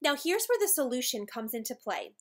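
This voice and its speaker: A woman presenting a scripted how-to tutorial, explaining each step in a clear, orderly way. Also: high-pitched, very animated, a little fast. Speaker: tutorial presenter for a decor company. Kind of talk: scripted instructional narration.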